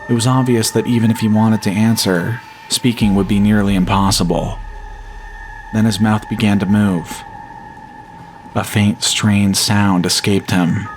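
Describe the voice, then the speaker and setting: A man narrating a scripted first-person horror story in a measured, solemn storytelling manner. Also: lowish voice, a little hiss or hum. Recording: music bed behind the voice. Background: atmospheric music.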